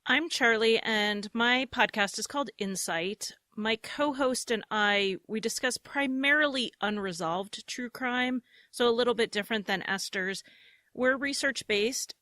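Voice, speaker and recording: A woman from the United States introducing her podcast in a roundtable discussion, explaining it in a clear, organized way. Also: fairly high pitch, measured pace, bright, forceful tone, dry recording, studio quality. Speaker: podcast host, guest.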